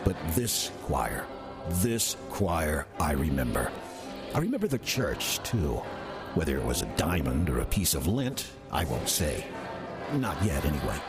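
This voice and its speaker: An actor playing a sly, ancient demon posing as an old church usher, wry and sardonic, with an American accent, in an audio drama. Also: male voice, very deep voice, big pitch swings, music in the background, exaggerated voice. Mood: sardonic musing. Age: older. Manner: wry, sardonic, deliberate storytelling. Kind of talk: audio drama first-person narration.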